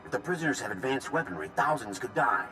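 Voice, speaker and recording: Game military officer: duty-bound, sincere, deep and expressive, soft music behind. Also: male voice, quick pace, rough recording. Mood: earnest urgency. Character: earnest, duty-bound Republic officer handling a riot. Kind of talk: dramatic video game voice acting.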